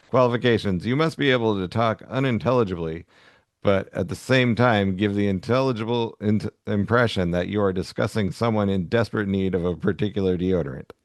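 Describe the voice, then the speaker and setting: A man's voice: lowish voice, a little fast, dry recording, studio quality. A man co-hosting a casual talk show, agreeable and given to chuckling as he chats and reads aloud. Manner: agreeable, chuckles often while talking. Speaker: podcast co-host. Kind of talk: casual chat and reading aloud from a humor magazine.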